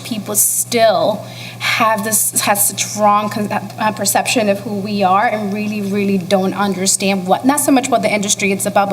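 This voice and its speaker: A woman's voice: medium pitch, long reverb tail, a little hiss or hum, low-quality recording. A woman from the Republic, speaking earnestly as a panelist and searching for her words. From Dominican Republic. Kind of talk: panel discussion. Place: event hall, live panel.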